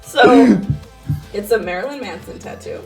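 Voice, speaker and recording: A woman's voice: mid-range voice, normal speed, gravelly, over loud music. A young woman co-hosting a casual podcast, chatting in an informal, slangy way. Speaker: podcast co-host. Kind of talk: casual chat.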